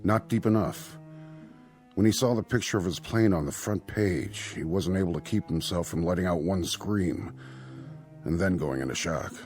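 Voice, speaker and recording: An actor playing a concerned, businesslike American hospital doctor in a radio drama, explanatory and reassuring in manner. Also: male voice, low voice, normal speed, darkish tone, lightly acted. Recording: studio radio drama with faint music.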